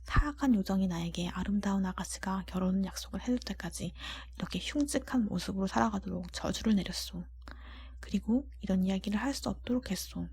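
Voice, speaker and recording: A woman voicing a gentle, lovelorn male beast, a cursed storybook prince, in a solemn, formal, breathy whisper. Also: medium pitch, fairly quick, lightly acted. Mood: solemn devotion. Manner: solemn, old-fashioned formal speech.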